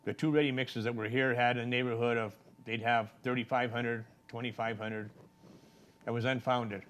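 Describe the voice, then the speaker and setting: A man's voice: medium pitch, flat delivery, normal speed, fairly bright tone. An older man from a small Colorado town, answering interview questions about his life in a plain, rambling, folksy way. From Trinidad, Colorado. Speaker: city council candidate.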